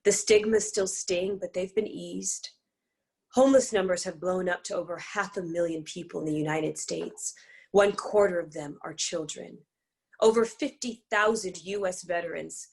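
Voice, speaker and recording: Creaky-voiced woman narrating a drama. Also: fairly low pitch, a little slow, darkish tone.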